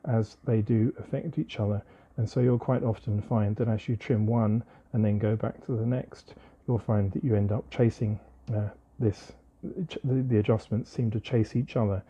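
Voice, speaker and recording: Husky man explaining electronics step by step. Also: lowish voice, lo-fi sound. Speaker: presenter of an electronics tutorial. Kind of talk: informal hands-on technical demonstration, explaining step by step.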